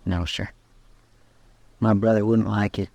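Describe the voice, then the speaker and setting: An actor playing a homespun, earnest, deferential marshal's sidekick with a rural American drawl in an old-time radio western. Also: male voice, fairly low pitch, level tone, measured pace, vocal fry, darkish tone, no room sound. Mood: folksy, confiding.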